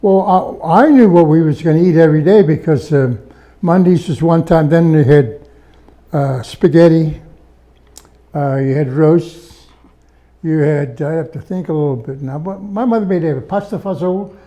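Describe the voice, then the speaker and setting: Older man with a very dark, mellow voice. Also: fairly high pitch, moderate pace. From Pittsburgh area, USA. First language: Italian. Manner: plainspoken, homespun storyteller. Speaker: interview guest, veteran. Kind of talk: casual interview.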